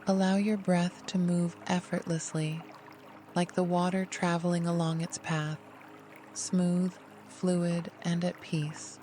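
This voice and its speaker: A meditation guide giving scripted, gentle, soothing instruction in an unhurried way. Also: medium pitch, level tone, soft background music.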